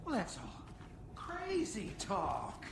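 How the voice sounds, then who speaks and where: A woman playing a rattled, sceptical small-town police deputy in a game, halting and repeating herself. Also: medium pitch, huge pitch swings, creaky, reverberant, noisy background, heavily acted. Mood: flustered disbelief.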